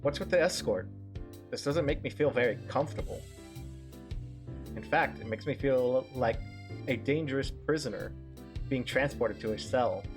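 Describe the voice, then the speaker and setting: A man reading a visual novel aloud on a stream, narrating haltingly and often repeating words as he goes. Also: mid-range voice. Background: music.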